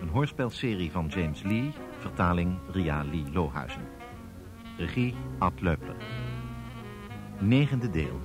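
A man's voice: deep voice, very animated, takes it slowly, long pauses, music in the background. A man announcing a radio serial in a scripted style.

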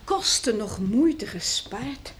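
Radio drama heroine: sensitive, expressive young woman, high voice, slightly noisy recording. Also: slow. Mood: amazed. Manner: expressive, emotional.

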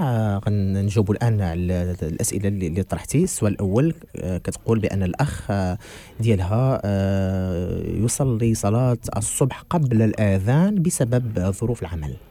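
A man with a Moroccan accent hosting a religious call-in radio show, briskly clarifying and rephrasing callers' questions. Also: fairly low pitch, animated, dark tone. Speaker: radio host.

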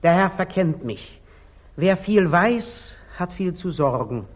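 An actor playing a humble, artful lay brother with a deferential, plaintive manner in 1940s German radio drama style. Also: male voice, sits high, animated, slow pace, exaggerated voice. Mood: evasive. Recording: old muffled 1940s radio recording.

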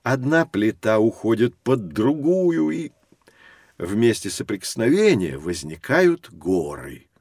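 An actor playing a lively, patient professor who explains things expressively to children in an educational audio drama. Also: male voice, on the low side, slow pace, dry recording, clean studio sound, full character voice. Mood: patiently explanatory.

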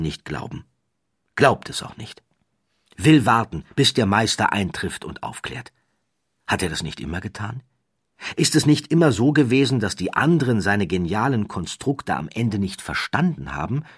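Deep raspy guy reading a story, lots of drama in the voice, dry sound. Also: fairly bright tone, dry recording. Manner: dramatic storytelling with rising and falling emphasis. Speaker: narrator. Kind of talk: scripted audiobook narration.

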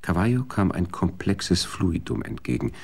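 Very deep, gravelly male narrator. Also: big pitch swings, normal speed, a little mellow. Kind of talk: scripted narration.

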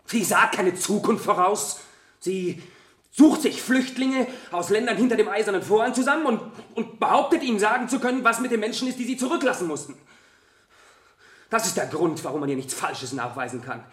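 A man in a German radio drama playing an evasive, scornful witness in court, bitter and halting. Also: high voice, lively intonation, fairly bright tone, roomy sound. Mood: indignant.

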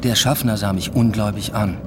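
An actor voices a restrained male lecturer narrating a radio drama. His voice is deep and his delivery understated and matter-of-fact. There is faint noise in the background. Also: fairly even intonation, fairly quick, lightly acted. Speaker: narrator and lead. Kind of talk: scripted first-person radio play narration.

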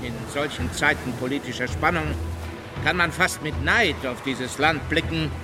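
A man's voice: fairly high pitch, moderate pace, over music, natural voice. An actor playing a formal, respectful naval officer addressing his emperor in a historical radio drama. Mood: wistful, respectful. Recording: slightly distant, clear outdoor background noise.

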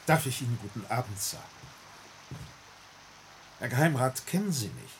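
An actor playing a grave, courteous village pastor in a German radio play, speaking formally and politely. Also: male voice, mid-range voice, expressive, normal speed, lightly acted, low-quality recording. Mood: polite, guarded. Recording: faint noise on old radio recording.

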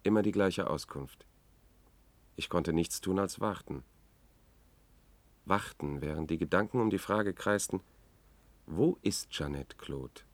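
An actor playing a troubled news editor, recounting events in a measured, reflective way in a 1970s German radio drama. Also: male voice, low voice, no echo. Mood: brooding. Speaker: radio play narrator.

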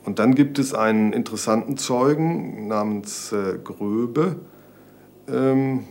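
A male defense lawyer answering interview questions hesitantly, often pausing on fillers. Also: medium pitch, a little mellow, a little hiss or hum.

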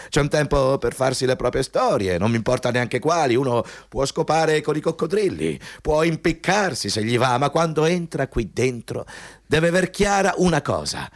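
An arrogant male company boss, bullies whoever sits across the desk. His pitch swings widely as he pompously hammers on repeated words. The studio sound is very dry and close. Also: no echo. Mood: haughty, scolding. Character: arrogant, bullying male company boss interviewing a job applicant. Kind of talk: Italian radio play acting.

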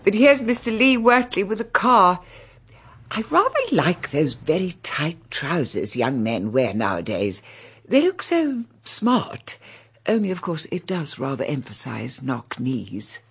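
An actress playing a genteel, anxious, tactful old English grandmother in a radio drama, with a husky, breathy voice. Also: fairly low pitch, sing-song, unhurried, fairly bright tone, heavily acted. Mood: gently placating. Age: older.

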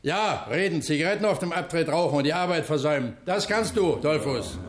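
A man's voice: fairly high pitch, expressive, fairly quick, close to an everyday voice. An actor in a radio drama playing a stoic, plain-spoken older factory foreman, blunt, dry and unyielding. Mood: blunt, accusing. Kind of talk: German radio drama acting.

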